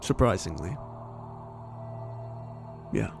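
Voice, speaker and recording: A reflective inventor, played by a man, recalls the past in a quiet, slow narration. His voice is very deep and gravelly, rising and falling widely. Soft music runs underneath. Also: expressive, heavily acted. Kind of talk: fan audio drama narration.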